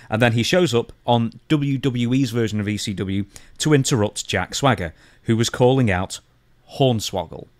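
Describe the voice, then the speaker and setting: A British man with a fairly low voice talks as a podcast co-host. His delivery is casual, blunt and slangy as he moves through stories, and the sound is dry and close. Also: no echo. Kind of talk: casual podcast chat, reading out news stories.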